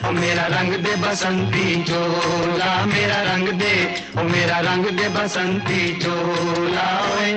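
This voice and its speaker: Male Indian singer, patriotic film song, very slow delivery, echoing recording, prominent loud music. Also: high voice, level tone. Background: loud musical accompaniment.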